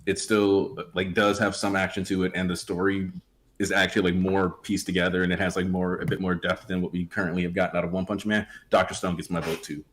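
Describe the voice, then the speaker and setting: Lower-voiced rambling man in his twenties. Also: flat delivery, fast, noisy background. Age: 20s. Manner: rambling, heavy with "like" fillers. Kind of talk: casual panel chat.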